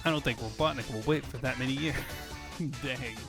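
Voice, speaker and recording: A man's voice: mid-range voice, normal speed, vocal fry, over loud music. A man speaking casually as the host of a comic fandub, in a loose, off-the-cuff way. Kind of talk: casual sign-off and outtake chat.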